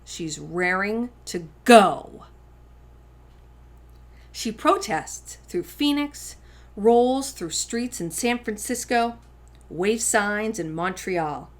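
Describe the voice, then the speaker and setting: A woman's voice: mid-range voice, lively intonation, extremely slow. A woman hosting children's storytime, reading a picture book aloud expressively, with dramatic emphasis on key words.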